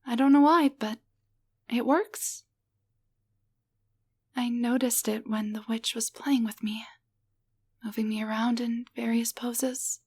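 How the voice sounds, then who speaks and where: A woman voicing a timid, grateful tiny enchanted doll in an intimate roleplay audio drama, speaking softly, gently and haltingly with a breathy voice. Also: on the high side, steady tone, heavily acted. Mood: gentle, earnest. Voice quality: soft, breathy.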